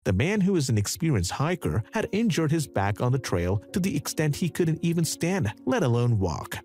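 A man narrating a dramatic true story in a scripted voice-over. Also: normal speed. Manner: dramatic storytelling with animated pitch. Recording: narration over background music.

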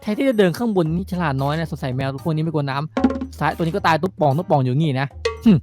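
A man playing an older, bossy but protective village uncle in an improvised Minecraft roleplay, speaking in a halting, explanatory way. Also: on the high side, lively intonation, natural voice. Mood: musing. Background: music.

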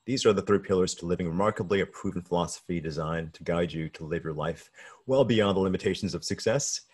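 Husky, deep-voiced male host. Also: fast talker, a little mellow. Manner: enthusiastic, repeats and restarts words. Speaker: podcast host. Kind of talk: video call interview. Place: home room indoors. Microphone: video call with desk mic.

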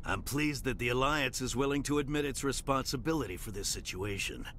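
An actor playing a pompous, hot-tempered crime warlord who styles himself a lord, with haughty, theatrical delivery in a video game. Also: male voice, medium pitch, brisk, bright, forceful tone, a little hiss or hum, natural voice. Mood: haughty, smug. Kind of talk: dramatic video game voice acting.

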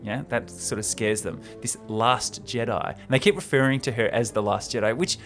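A man's voice: mid-range voice, moderate pace, fairly bright tone. A young man hosting a podcast, casually and enthusiastically retelling a script in an informal way, close on the microphone. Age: young adult. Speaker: podcast host. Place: small room indoors. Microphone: close podcast microphone on stand. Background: faint music.

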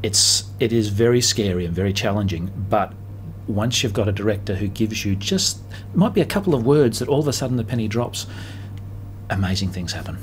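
A man's voice: fairly low pitch, expressive, brisk, slight background noise, low-quality recording. A man in his forties, a radio presenter, talking reflectively in an interview. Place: small indoor radio station room.

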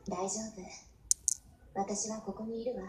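Casting: actress as devoted young anime game heroine, soft, caring, breathy, comforting her commander; roomy screen playback with faint noise. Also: mid-range voice, fairly even intonation, unhurried, lightly acted. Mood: gentle, caring. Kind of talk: Japanese anime game voice lines.

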